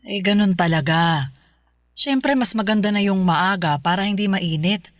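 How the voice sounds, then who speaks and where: A woman's voice: medium pitch, moderate pace, dry recording, studio quality, natural voice. A woman playing a devout Filipino mother in a radio drama, chiding and instructing her child in a motherly way. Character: devout scolding mother of a teenage daughter.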